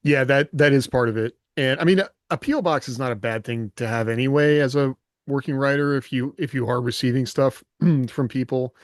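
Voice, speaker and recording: A man's voice: mid-range voice, brisk, no echo. Man in dry-sounding, casual podcast talk. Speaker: podcast co-host and writer.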